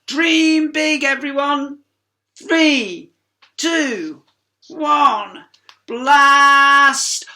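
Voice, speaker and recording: A woman's voice: high voice, drawn-out words, bright, forceful tone, voice pushed up, full character voice. A woman voicing an eager, big-dreaming female hippo adventurer in a children's storybook, earnest and exclaiming. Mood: excited.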